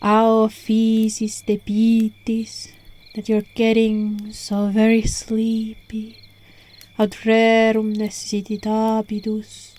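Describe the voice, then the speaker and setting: Casting: woman as alluring hypnotist witch for ASMR roleplay. Soft, breathy, half-whispered, very slow and soothing, mellow tone, phone-mic recording. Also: a little high. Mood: lulling, drowsy. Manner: soothing, hushed, unhurried, coaxing. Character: alluring witch casting a sleepy hypnotic spell, gentle, coaxing.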